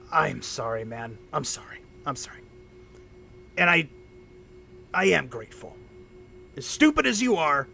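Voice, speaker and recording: A man playing a loyal, worried mechanic in an audio drama, speaking earnestly and haltingly. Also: a little high, creaky, pushed and bright, a little hiss or hum, full character voice. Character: worried, loyal male mechanic friend, irreligious and wry.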